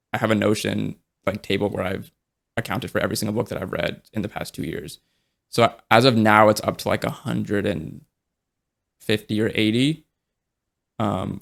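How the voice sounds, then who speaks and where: A young man in casual podcast conversation, talking in a relaxed, rambling way with many fillers and restarts. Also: on the low side, gravelly.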